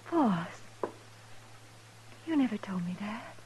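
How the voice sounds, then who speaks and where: An actress playing a gentle, downtrodden ranch woman in a 1950s radio western, hesitant and soft-spoken. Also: medium pitch, expressive, takes it slowly, low-quality recording. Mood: tender, hushed. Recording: old radio recording with faint noise.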